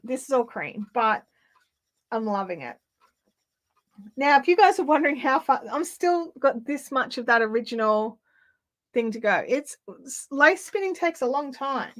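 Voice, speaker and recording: A woman hosting a casual craft livestream, chatting in a rambling way with lots of fillers and restarts, her throat slightly raspy. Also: fairly high pitch, fairly bright tone. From Australia. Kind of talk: casual live chat while spinning. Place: home craft room.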